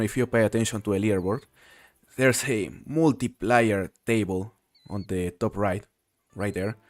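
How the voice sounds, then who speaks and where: Casual male streamer, lowish voice, easygoing talk to chat, dry mic. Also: no room sound. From Mexico. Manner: relaxed, chatty, answers viewers while playing. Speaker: game streamer. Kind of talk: casual live-stream commentary, reading chat.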